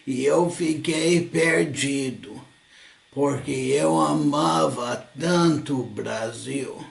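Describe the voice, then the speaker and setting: An older American man, a native English speaker, telling his own story slowly in a church lesson, pausing often and correcting himself. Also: mid-range voice, creaky, reverberant. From United States. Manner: slow, halting, with self-corrections and frequent coughs. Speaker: church teacher. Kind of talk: slow personal recollection, lesson.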